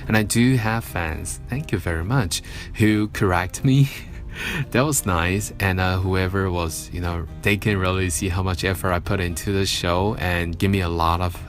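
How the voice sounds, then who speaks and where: A low-voiced man chatting on his evening radio show from a studio mic, rambling and joking at his own expense. Faint music plays quietly behind him. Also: fairly even intonation, normal speed. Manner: chatty, self-mocking, rambling. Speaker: radio host. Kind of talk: casual solo radio talk.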